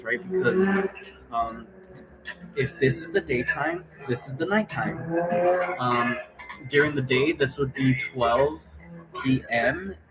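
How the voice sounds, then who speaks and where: A man giving a casual, unscripted explanation as a presenter, rambling loosely from one aside to the next. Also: mid-range voice. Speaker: presenter explaining an occult role-play game. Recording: clearly noisy room recording.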